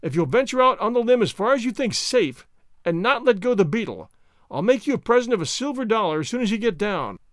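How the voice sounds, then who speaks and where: An excitable storybook gentleman performed by a man, bossy and obsessive. His raised, animated voice issues impatient orders in formal, urgent phrases. It sounds dry and close. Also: high voice, a little fast, dry recording, voice pushed up. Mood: exasperated. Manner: commanding, urgent, formal diction.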